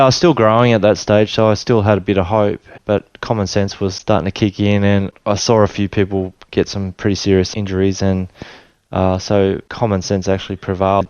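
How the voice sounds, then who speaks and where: Low, even-toned Australian man. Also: a little fast, clear, resonant tone, dry recording, highs cut off. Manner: relaxed, rambling with many restarts and fillers. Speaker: interview guest. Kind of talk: casual storytelling interview.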